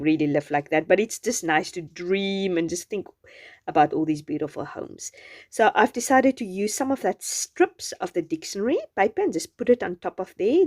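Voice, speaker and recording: A South African woman whose first language is Afrikaans, chatting gently through a journaling craft voice-over. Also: sits low. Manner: gentle, chatty, meandering with restarts. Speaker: journaling channel host.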